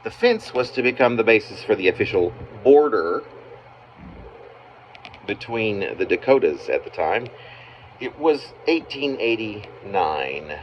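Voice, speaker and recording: A man reading his own narrative poem aloud as its author, in halting phrases with pauses mid-sentence. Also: on the low side, sing-song. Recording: muffled, noisy amateur home recording.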